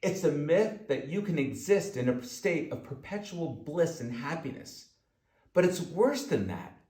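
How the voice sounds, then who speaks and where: Guy reading his self-help audiobook, kinda high voice, talks right at you with emphasis, roomy echo. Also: sing-song, a little slow, darkish tone. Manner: emphatic, direct address to listener. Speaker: author narrating own audiobook. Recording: echoey room sound.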